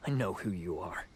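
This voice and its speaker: A man's voice: low voice, a little hiss or hum, heavily acted. An actor playing an edgy, solemn male blue oni in a video game, grave and dramatic.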